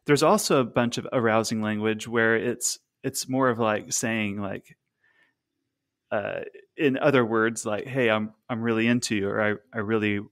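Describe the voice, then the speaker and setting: A man's voice: fairly low pitch, fairly even intonation, normal speed, a bit bright. A man in his thirties co-hosting a podcast over a remote call, asking questions in an easygoing way and backing his partner with frequent "uh-huh"s. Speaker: podcast co-host. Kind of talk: casual interview chat. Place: small room at home. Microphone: studio mic on stand, remote call.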